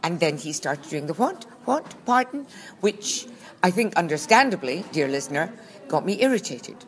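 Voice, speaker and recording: A woman's voice: fairly low pitch, very animated, moderate pace. A woman co-hosting a podcast in a shop, blunt, grumpy and sardonic, recorded on a phone. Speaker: podcast co-host. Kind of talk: bickering casual podcast chat. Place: busy charity shop. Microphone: phone held up by co-host.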